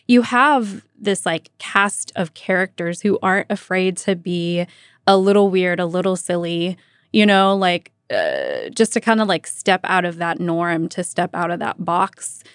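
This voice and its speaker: A woman's voice: on the low side, very animated, unhurried, smooth, no echo, studio quality. An American woman working as a radio host, chatting informally in a casual interview and often dropping in "like" and "you know".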